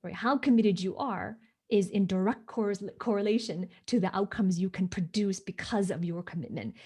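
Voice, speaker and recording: A woman's voice: mid-range voice, moderate pace, darkish tone, husky. Emphatic woman from Hong Kong. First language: not English. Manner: emphatic, repeats "right?" for agreement. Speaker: guest. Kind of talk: interview storytelling.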